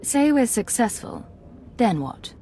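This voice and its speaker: An actress playing a poised, persuasive secret agent in a video game, controlled and smooth-talking. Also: female voice, fairly high pitch, big pitch swings, slow pace, warm tone, faint music behind, heavily acted. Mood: businesslike.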